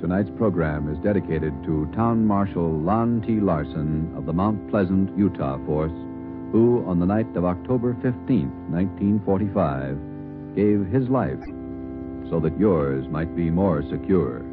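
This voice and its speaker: American man, grave scripted 1940s announcing, deep voice, narrow old radio recording, music swelling loud. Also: expressive, measured pace.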